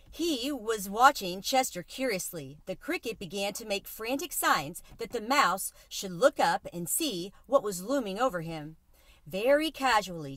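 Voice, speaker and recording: Female storyteller for children's read-aloud, bright, animated intonation, dry close sound. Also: mid-range voice, dry recording. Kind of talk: expressive children's book narration.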